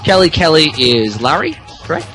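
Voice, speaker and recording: A man's voice: on the high side, very animated, moderate pace, over music. A man narrating a fan fiction as a mock-dramatic podcast reading, theatrical but stumbling over the text, with asides. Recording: podcast with faint background noise.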